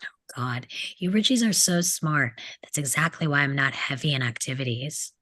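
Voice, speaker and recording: A low, dry-sounding voice for a cynical teenage troublemaker , read by a woman. He taunts with sardonic mockery, in the manner of a drama table read. The sound is close and free of room. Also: expressive, normal speed, fairly bright tone, dry recording. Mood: sarcastic, mocking. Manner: mocking, sardonic.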